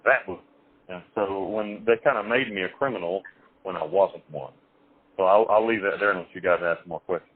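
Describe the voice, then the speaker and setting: A man being interviewed over the phone, telling his life story in a rambling, halting way with many restarts and some blunt, crude wording. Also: low voice, slightly rough. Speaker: interview guest. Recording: tinny phone line.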